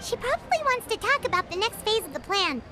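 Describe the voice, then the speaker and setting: A woman voicing a small, eager, chatty childlike companion in a video game, with a squeaky voice. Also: sing-song, fast, over music. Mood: reassuring, confident. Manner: bubbly, informal, eager.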